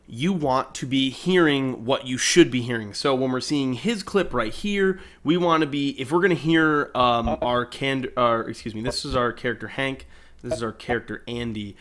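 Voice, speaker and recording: A man's voice: mid-range voice, fairly bright tone. A man narrating a video editing tutorial in an informal, explanatory way. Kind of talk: casual screen-recorded tutorial narration. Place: indoors, voice-over at a computer.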